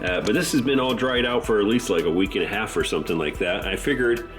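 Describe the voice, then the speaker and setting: Casual hobby host: low-pitched man, stuffy nose from the flu, easygoing rambling delivery, faint music underneath. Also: fast. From Southern California. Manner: relaxed, rambling, many fillers. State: congested from the flu. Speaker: model railroad hobbyist host. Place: home layout room.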